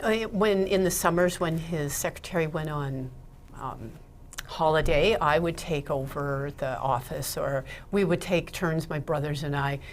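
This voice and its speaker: Low-voiced chatty Ontario woman. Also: measured pace, a little hiss or hum. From Ontario, Canada. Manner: chatty, laughs between thoughts. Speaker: guest, Mitzvah Technique teacher. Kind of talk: casual interview talk.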